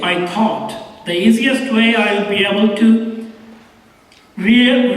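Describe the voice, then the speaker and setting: High-voiced Bangladeshi man in an echoey hall. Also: fairly bright tone, distant mic. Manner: measured, deliberate storytelling. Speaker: commencement speaker. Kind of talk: formal graduation address. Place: large auditorium.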